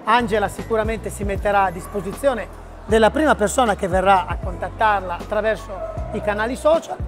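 A man's voice: sits high, a little fast, over music. A middle-aged man hosting a casual interview in a studio, asking friendly leading questions. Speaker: host. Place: studio with printed backdrop.

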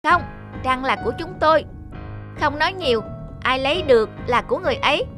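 High childlike young monkey. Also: female voice, animated, a little fast, over music. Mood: indignant, squabbling. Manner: childlike, exclaiming. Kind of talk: animated children's fairy tale acting.

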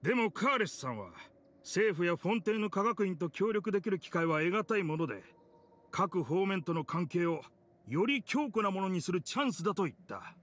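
An actor playing a rough-spoken, loyal young gentleman in a Japanese video game, blunt and emotive. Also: high-pitched, lively intonation, normal speed, a little hiss or hum. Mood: serious explaining. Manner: emotive, blunt casual speech.

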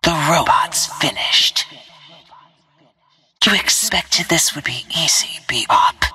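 An actress voicing a cold, self-assured assassin soldier in a video game, with a breathy, clipped, deliberate delivery. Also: female voice, a bass voice, bright, forceful tone, heavily acted. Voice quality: breathy, whispery. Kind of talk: scripted video game voice lines.

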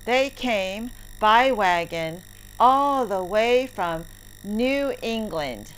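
A woman reading the part of a kindly, easygoing frontier tree planter in a children's story, with deliberate, emphatic phrasing. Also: mid-range voice, lively intonation, fairly bright tone. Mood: friendly. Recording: clearly noisy recording with clicks.

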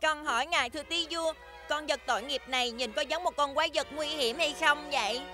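A woman's voice: high voice, brisk, close to an everyday voice. A woman playing an earnest, tender-hearted young male gnome, pleading, in a cartoon dub. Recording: music behind the voice.

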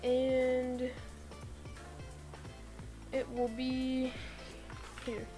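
A woman on the higher side of the pitch range, sounding a little under the weather. She walks viewers through a screen-recorded tutorial in a relaxed, chatty way, speaking to them directly. Soft music plays faintly underneath. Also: very slow. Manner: chatty, easygoing, talks straight to viewers. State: sick, voice a bit off. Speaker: YouTube tutorial creator.

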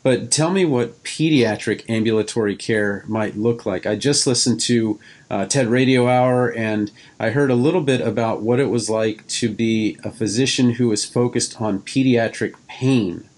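A man's voice: mid-range voice, normal speed, a little mellow. A middle-aged man hosting a podcast, asking questions in a casual interview style.

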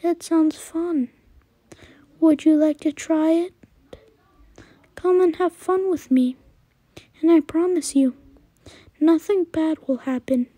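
Burnt baby-doll horror animatronic, female performer: soft mellow tone, sugary menace, very dry close recording. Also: extremely high pitch, fairly even intonation, takes it slowly, pauses often, dry recording. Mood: sweetly sinister. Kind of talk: scripted horror character voice lines.

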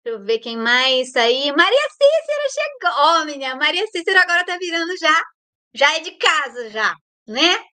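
Bright high-voiced Brazilian woman host. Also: big pitch swings, normal speed. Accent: Brazilian Portuguese. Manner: chatty, playful, greets viewers warmly by name. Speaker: livestream host. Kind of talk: casual live chat greeting viewers.

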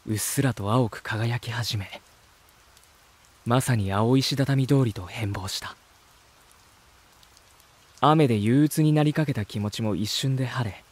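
Male lead for voice drama: polite, earnest reporter, medium pitch, gentle, expressive, easily amazed. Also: breathy edge. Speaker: voice actor narrating as lead character. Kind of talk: first-person voice drama narration and dialogue.

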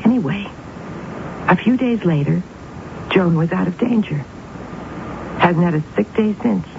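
A woman's voice: fairly low pitch, animated, vocal fry. Grandiose young psychic woman, tinny radio drama. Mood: confiding. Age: young adult. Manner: dramatic, emphatic, deliberate repetitions. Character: young woman, grandiose, obsessed psychic, coolly self-absorbed. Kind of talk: 1970s radio drama acting, dialogue and confiding narration. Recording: tinny, noisy 1970s radio broadcast recording.

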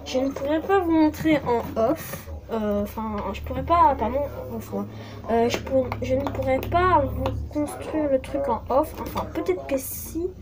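A woman's voice: high-pitched, measured pace, a crowd in the background. A young woman chatting casually while unboxing toys at her desk, hesitant and full of fillers and apologies. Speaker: vlogger. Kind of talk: casual toy unboxing chat. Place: desk at home, indoors.

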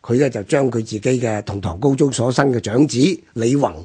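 A man's voice: mid-range voice, a little fast, warm tone, slightly rough. A man with a Hong Kong Cantonese accent hosting a conversational history discussion on the radio. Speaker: radio programme host.

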